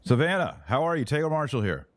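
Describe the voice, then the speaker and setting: A man's voice: a little high, big pitch swings, fast talker, dry recording, studio quality. A man hosting a podcast, giving live commentary and interviewing. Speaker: podcast host.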